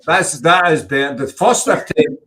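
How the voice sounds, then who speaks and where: Host pria bersuara agak tinggi, logat Glasgow, suka menggoda tamu, lewat panggilan video. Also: male voice, a little high, animated, moderate pace, sharp tone. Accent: Glaswegian Scottish. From Scotland. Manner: jokey, teasing, uses Scots words. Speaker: podcast host. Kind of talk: casual chat.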